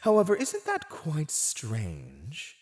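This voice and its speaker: A man's voice: fairly high pitch, huge pitch swings, a little mellow, full character voice. A man playing a silver-tongued villain warlord who taunts a captive in a theatrical, mocking, teasing way for an ASMR roleplay. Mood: smug, sly. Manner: teasing, mocking, theatrical, drawn-out delivery with chuckles.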